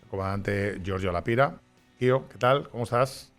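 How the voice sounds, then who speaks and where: Male host, conversational, halting delivery; light music bed behind. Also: lowish voice, fairly bright tone. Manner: hesitant, many fillers and restarts. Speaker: podcast host. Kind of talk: conversational interview hosting.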